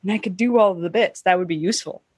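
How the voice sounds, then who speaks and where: A woman co-hosting a podcast in casual chat, lively and animated, with a slightly scratchy throat. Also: fairly low pitch, quick pace, slightly rough, dry recording. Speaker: podcast co-host.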